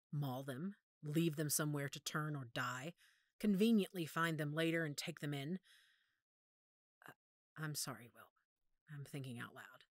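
A woman playing a commanding, calculating werewolf pack mother in an audio drama, deliberate and decisive. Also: deep voice, no echo, clean studio sound. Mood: apologetic.

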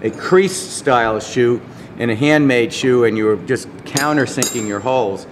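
A middle-aged man, a farrier clinician, explaining horseshoe nails in a casual, halting way full of fillers, filmed at a convention booth. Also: medium pitch, a little hiss or hum. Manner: explains with many fillers and restarts while handling shoes and nails. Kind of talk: informal product explanation. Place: convention booth with curtains, indoors. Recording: reverberant hall with background ambience.

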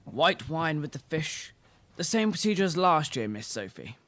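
James, low-voiced deferential butler played by a woman. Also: very animated, normal speed, fairly bright tone. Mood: reluctant, obliging. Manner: obsequious, formal, repeating himself. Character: James, deferential elderly male English butler. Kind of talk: amateur radio play acting.

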